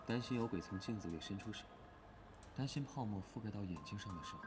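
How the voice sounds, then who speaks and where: An actor playing a calm, pondering man in a suspense audio drama. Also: fairly low pitch, fast, over music, natural voice. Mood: calm, musing.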